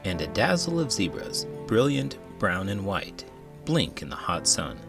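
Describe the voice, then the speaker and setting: Low voiced guy reading a kids book out loud, super animated, big swoopy delivery, bit of music. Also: a little slow, creaky. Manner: expressive, dramatic delivery with big emotions. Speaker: children's book read-aloud host, teacher. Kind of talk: animated read-aloud narration for children.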